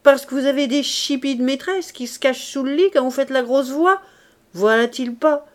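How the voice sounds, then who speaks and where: A woman voicing a fierce, defiant young woman in rags who taunts and shouts down a gang of men. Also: high-pitched, normal speed, pitched above the performer's own voice, close to an everyday voice.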